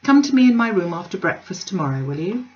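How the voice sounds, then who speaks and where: A woman's voice: on the low side, sing-song, fast. Storybook role: formal, earnest middle-aged father, acted by a woman, grave in manner, slightly muffled recording. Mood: serious, kindly.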